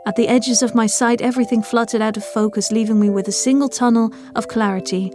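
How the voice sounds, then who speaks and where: A woman with a fairly high voice reads first-person horror fiction as a story narrator. Music runs underneath her scripted narration. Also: steady tone, quick pace, darkish tone.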